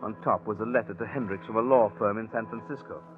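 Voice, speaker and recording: A man's voice: lowish voice, fairly even intonation, quick pace, lightly acted. A man playing a scheming gambler who narrates his own crime in a wry, confiding way, in 1940s radio suspense style. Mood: tense, confiding. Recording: muffled 1940s radio broadcast with music.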